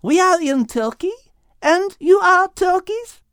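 Woman as small female animal, high pitch, measured pace, polite, curious, hesitant, storybook. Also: expressive, a bit bright, no echo, clean studio sound, full character voice.

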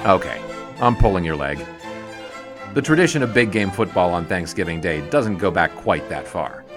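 Low-voiced wry sportswriter narrator. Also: male voice, lively intonation, over music. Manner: storytelling, wry, measured. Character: wry older sportswriter narrating memories of the 1920s. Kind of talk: scripted audio drama narration.

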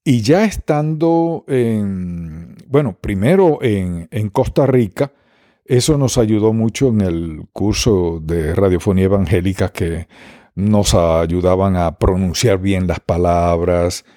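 A Dominican man and radio broadcaster with a mostly neutral accent, answering interview questions in an unhurried way with many pauses and fillers. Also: sits low, mellow, no room sound, clean studio sound. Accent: Dominican Spanish, mostly neutralized. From Dominican Republic.

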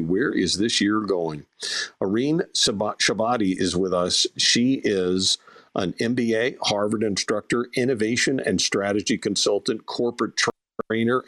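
Low-voiced upbeat male podcast host. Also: no echo. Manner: upbeat promotional patter. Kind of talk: live audio show hosting.

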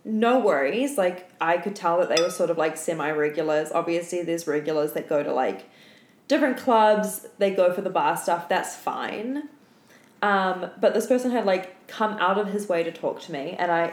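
A tired woman co-hosting a podcast, chatting and telling stories casually in a playful, giggly way, with some swearing. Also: on the low side. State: tired after a night without sleep. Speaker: podcast co-host. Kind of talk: casual chat and storytelling between friends. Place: indoor room at home. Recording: echoey room.

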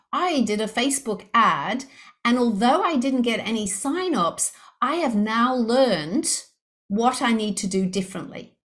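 A middle-aged woman from Liverpool, England, with an English accent blended with Australian, explaining sales techniques upbeat as a podcast guest. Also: medium pitch. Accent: English mixed with Australian. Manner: upbeat, explanatory, acts out inner voices. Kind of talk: podcast interview.

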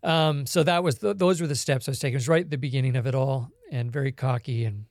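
A Canadian man, a podcast guest, telling his life story in a relaxed, reflective way with many small pauses. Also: mid-range voice, dry recording, clean studio sound. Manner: reflective storytelling with frequent ums. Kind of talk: conversational interview.

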